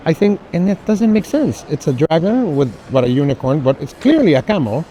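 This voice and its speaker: A man's voice: fairly high pitch, sing-song, fairly quick, warm tone. A man speaking casually as an art collector and guest at a fair, effusive and emphatic. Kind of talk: casual chat. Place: art fair, walking tour. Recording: faint noisy fair hall ambience.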